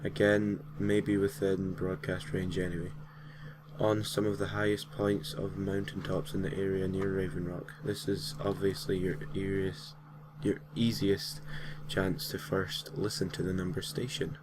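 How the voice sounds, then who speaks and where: A man's voice: low-pitched, level tone, a little slow, background noise. A man narrating a gaming story in an informal way, reading from a script with casual, slightly hesitant asides. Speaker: host and narrator of a gaming creepypasta series.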